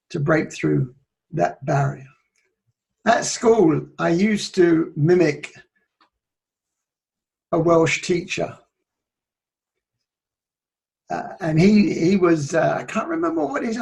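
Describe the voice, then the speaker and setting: Slow, gravelly man giving speaking tips. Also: high-pitched. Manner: shifts between loud and soft, playful with his voice. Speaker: public speaking trainer. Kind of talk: motivational talk on public speaking.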